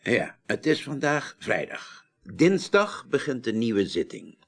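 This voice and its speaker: A man's voice: mid-range voice, big pitch swings, takes it slowly, heavily acted. A man playing a middle-aged parliamentary chairman in a radio drama, formal, authoritative and self-assured. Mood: businesslike. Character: self-assured, genial but demanding male parliamentary chairman. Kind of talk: 1980s Dutch radio drama acting.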